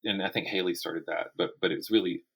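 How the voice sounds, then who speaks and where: Low-pitched man, author in conversation. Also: fairly even intonation, fairly quick, creaky, a bit bright, breathy edge. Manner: talks in restarts and repeated words. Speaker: guest author. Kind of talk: casual interview conversation.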